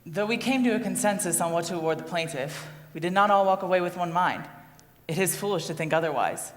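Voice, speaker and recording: A woman on stage playing a composed jury foreperson, speaking in a formal, measured, declarative way. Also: fairly low pitch, lightly acted. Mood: solemn. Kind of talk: formal stage play recitation. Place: live stage performance in a hall. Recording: echoey hall, long reverb.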